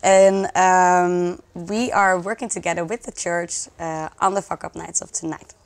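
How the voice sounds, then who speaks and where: A woman's voice: lowish voice, steady tone, unhurried. A woman speaking as a guest in a conversational interview, explaining things with enthusiasm. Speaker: interview guest, social project community organizer.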